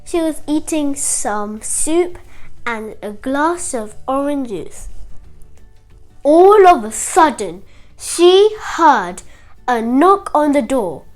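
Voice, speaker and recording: A young girl tells a nursery story in a high, animated voice. She goes slowly, in simple childlike phrases that halt now and then, with faint music behind her. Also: rough recording. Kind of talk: animated retelling of a nursery fairy tale.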